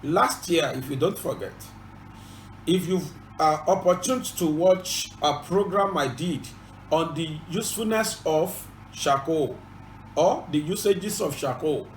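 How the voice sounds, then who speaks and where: High-voiced Yoruba man, echoey room. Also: lively intonation, measured pace, a little hiss or hum. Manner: earnest, repetitive, formal instructions. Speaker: channel host and presenter. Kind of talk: informative bilingual presentation.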